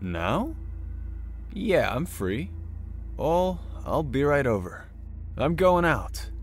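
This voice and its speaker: A man's voice: a little high, very animated, slow pace, faint music behind, exaggerated voice. A man playing a selfish, indifferent deadbeat husband in a dramatic manga dub, offhand and dismissive. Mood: bored indifference.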